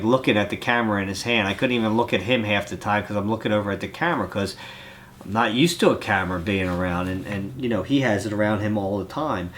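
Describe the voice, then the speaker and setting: A man's voice: fairly low pitch, a bit bright, slight background noise. A man with a cold, congested and coughing now and then, chatting casually on his vlog and rambling from one thought to the next. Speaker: vlogger reading fan mail. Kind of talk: casual chat.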